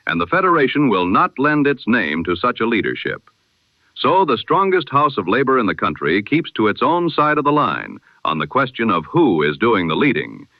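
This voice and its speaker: A man presenting a scripted news bulletin in the style of 1920s radio, with a measured, formal, solemn delivery. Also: fairly low pitch, dry recording, muffled recording.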